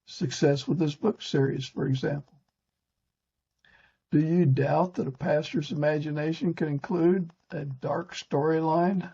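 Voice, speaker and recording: A man with a gravelly, husky voice hosting a podcast, mostly reading prepared narration with short conversational interjections. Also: on the high side, steady tone, a little slow, warm tone, lo-fi sound. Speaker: podcast host.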